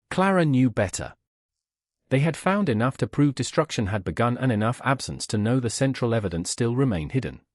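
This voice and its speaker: A man reading historical romance fiction as a measured, formal audiobook narrator. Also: medium pitch, no room sound, clean studio sound.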